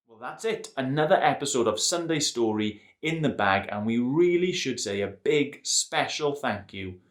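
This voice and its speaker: A man's voice: mid-range voice, moderate pace. Playful man reading to kids. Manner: playful, lively storyteller. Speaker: host and story reader. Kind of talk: children's storytelling.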